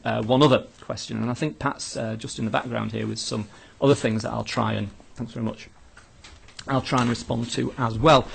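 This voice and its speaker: A man's voice: medium pitch, gravelly, husky. A man giving an informal academic talk as a lecturer, answering questions off the cuff in a tentative, self-interrupting way, thinking aloud. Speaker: law lecturer leading a live question session.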